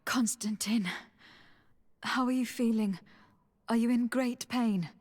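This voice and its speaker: A woman's voice: a little high, steady tone, slow, darkish tone. An actress playing a loyal, composed noblewoman diplomat in a video game, speaking formally and sincerely. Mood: caring, resolute. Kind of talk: dramatic video game acting. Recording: long hall-like reverb.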